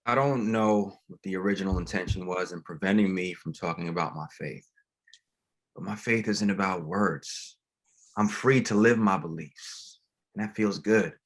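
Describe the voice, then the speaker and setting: A man playing a calm, principled pastor in a screenplay table read. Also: sits low, normal speed. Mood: calm, sincere.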